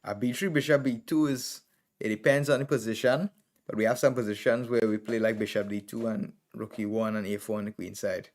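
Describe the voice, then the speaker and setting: A man's voice: lowish voice, fairly even intonation, a little fast, a little mellow. A man from Trinidad and Tobago with a Trinidadian accent, streaming live and thinking aloud as he reviews chess games move by move. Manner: thinking aloud, calling out moves in short bursts.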